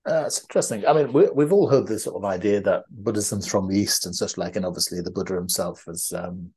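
A man's voice: deep voice, fast, a little mellow, slightly rough. A man from the of England, hosting a podcast over a video call and asking chatty, rambling questions full of restarts. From northeast England. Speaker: podcast host. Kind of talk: casual interview.

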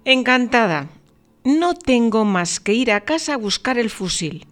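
A woman's voice: fairly high pitch, very animated, unhurried, soft background music. A woman playing a polite, eager lady passer-by in an audio story, with a theatrical, obliging manner. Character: polite, eager passing lady offering help.

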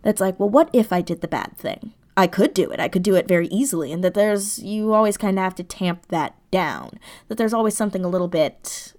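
Thoughtful, halting woman in casual conversation. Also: mid-range voice, a little mellow. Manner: thoughtful, halting, circles back over phrases. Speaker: interview guest, audio drama creator. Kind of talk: casual, reflective interview chat.

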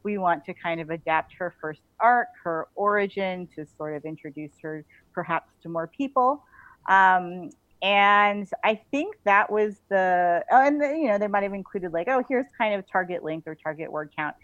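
A woman's voice: mid-range voice, animated, moderate pace, a bit bright, no echo. A woman chatting casually and enthusiastically as an interview guest, in an informal way. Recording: narrow phone-like call audio.

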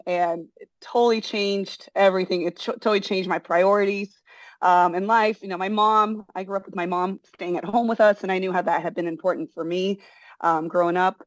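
A middle-aged woman who grew up in Brazil speaks both Portuguese and English. As an interview guest she rambles, full of fillers and restarts. Her voice sits at an ordinary pitch, and the recording is dry with no room sound. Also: fairly even intonation, normal speed, no echo. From born in the United States, raised in Brazil. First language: bilingual in Portuguese and English. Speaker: interview guest, forest school founder. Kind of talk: casual interview conversation.

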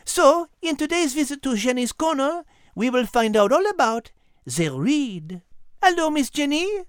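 Lady doing a french animal host for a kids podcast, high voice, lilting, refined and inquisitive. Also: very animated, unhurried, no echo, studio quality. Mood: curious, poised.

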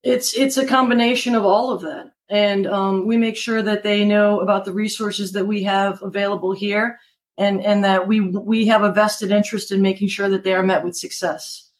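A woman's voice: mid-range voice, steady tone, normal speed. An American woman from Maryland speaking as an interview guest, a city manager talking conversationally and with upbeat conviction. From Maryland, United States.

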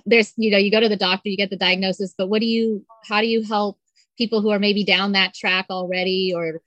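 A woman's voice: medium pitch, fairly even intonation, moderate pace, a bit bright, dry recording, dull audio. A woman hosting a podcast who asks loose, rambling questions in a chatty, laughing way. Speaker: podcast host. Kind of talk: casual interview chat.

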